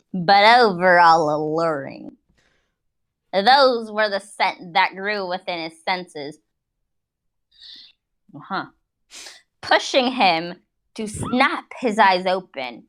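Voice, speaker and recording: A woman's voice: mid-range voice, lively intonation, takes it slowly, long pauses, a bit bright. A woman giving a mocking, playful dramatic reading with giggly, exaggerated delivery and casual asides. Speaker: reader in a group dramatic reading.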